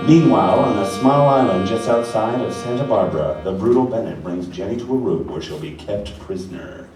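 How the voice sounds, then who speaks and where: A man's voice: lowish voice, animated, fairly quick. A man narrating a mock radio serial in a dramatic style. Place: rehearsal hall before a live audience. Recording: very echoey hall, distant, music behind.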